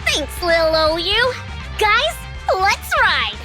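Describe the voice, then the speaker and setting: A woman voicing a bubbly, excitable, affectionate young biker in a video game, gushing and playful. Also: very high voice, very slow, full character voice. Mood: excited. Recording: loud game music behind.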